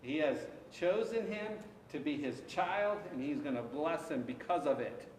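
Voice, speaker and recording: A man teaching scripture to his congregation in a relaxed, conversational way, answering questions from the pews. The sound is distant, with a long reverb from the sanctuary and a faint hiss of background noise. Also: fairly high pitch, normal speed. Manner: conversational, takes questions, frequent restarts and acted asides. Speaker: pastor. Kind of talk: interactive Bible study teaching. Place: church sanctuary. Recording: echoey church hall from far off.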